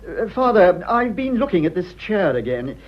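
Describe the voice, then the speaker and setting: A man's voice: sits high, big pitch swings, normal speed. An actor playing a stuffy, earnest, hesitant man in his thirties with an upper-class English accent in a radio play. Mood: fussy, anxious. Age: 30s. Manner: prim, hesitant, solemn. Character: stuffy, earnest, fussy son of thirty-five. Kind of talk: stage comedy radio acting. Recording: dull, muffled old radio drama.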